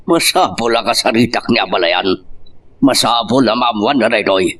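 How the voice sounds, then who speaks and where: A man playing a hot-tempered older father and protective grandfather in an audio drama, gruff and indignant. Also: lowish voice, lively intonation, moderate pace, fairly bright tone, recorded from a distance. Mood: stubborn, angry. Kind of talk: amateur radio-style drama acting.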